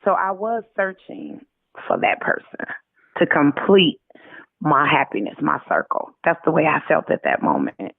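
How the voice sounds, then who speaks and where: A woman's voice: sits low, wildly swooping pitch, unhurried, vocal fry, dry recording. An American woman telling personal stories casually as an interview guest, colloquial and acting out quoted dialogue. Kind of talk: casual conversational storytelling. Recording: tinny narrow phone line.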